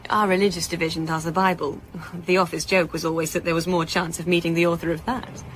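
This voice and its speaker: Casting: actress as publishing executive, female, gently deep voice, dry office humour, conversational audio-drama delivery. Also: little pitch movement, quick pace, slight background noise, lightly acted. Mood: candid, dry. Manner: wry, hesitant, conversational. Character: chatty, wry female publishing executive, candid and joking. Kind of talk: naturalistic radio drama acting.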